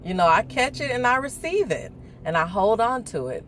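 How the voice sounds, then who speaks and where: A woman chatting casually on a morning vlog, rambling and laughing as she reflects, her voice deeper before coffee. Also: big pitch swings, moderate pace, fairly bright tone, a little hiss or hum. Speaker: vlogger. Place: indoors, talking to her phone. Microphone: phone.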